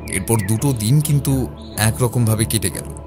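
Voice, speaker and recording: Male actor as composed detective, low voice, measured storytelling, explanatory, prominent music behind, audio mystery. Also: close to an everyday voice. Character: composed male detective recounting his investigation. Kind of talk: first-person detective story narration. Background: background music.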